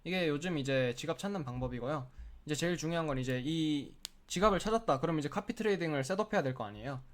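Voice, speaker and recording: A man with a cold and a sore throat who clears his throat often, talking through a screen-share tutorial in a chatty, explanatory way with lots of filler words. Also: fairly high pitch, animated, fast. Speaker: crypto trading tutorial host.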